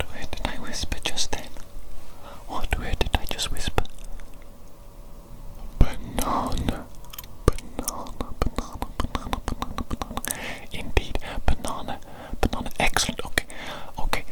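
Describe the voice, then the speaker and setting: An ASMR performer voicing an evil doctor who tends a creature, whispering in a breathy, repetitive, politely instructing way. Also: measured pace, close to an everyday voice. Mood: calm, attentive. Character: evil doctor calmly tending a big creature patient. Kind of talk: whispered ASMR medical roleplay.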